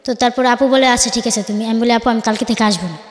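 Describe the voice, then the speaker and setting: High-voiced young Bangladeshi woman, halting storyteller. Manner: halting, self-correcting, acts out reported dialogue. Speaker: guest telling life story. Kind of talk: informal personal storytelling. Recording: echoey, reverberant room.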